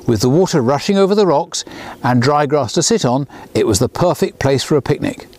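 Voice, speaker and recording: A man in his sixties, a children's author, reading his own storybook aloud outdoors beside a small railway, lifting his voice for the characters. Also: fairly quick. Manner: lively storyteller, lifts pitch for characters' lines.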